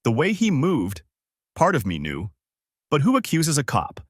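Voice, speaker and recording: A man's voice: fairly high pitch, wildly swooping pitch, measured pace, no echo, pitched above the performer's own voice, heavily acted. Man reading as earnest mother, dry close sound. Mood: troubled. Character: earnest mother of a small boy, crime witness. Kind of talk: narrator reading story dialogue.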